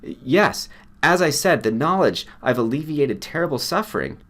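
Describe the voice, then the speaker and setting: A man acts out a principled, modest doctor-scientist in a storybook reading. He speaks with careful emphasis and earnest protest, a little above an everyday pitch. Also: very animated, moderate pace, fairly bright tone. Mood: firm, earnest.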